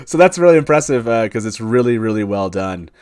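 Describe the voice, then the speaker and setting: A man's voice: on the low side, animated, fairly quick, a little mellow. Informal man hosting a live panel. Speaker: host of a fan show. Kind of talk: casual live panel chat. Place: online group video call, live stream.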